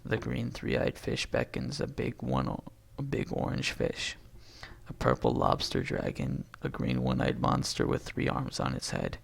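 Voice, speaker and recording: Husky, gravelly man reading with wildly swinging pitch. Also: sing-song, measured pace. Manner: stumbles and corrects himself, breaking off into asides. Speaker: amateur reader doing a long script read-aloud. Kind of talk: reading a film script aloud, stage directions and dialogue.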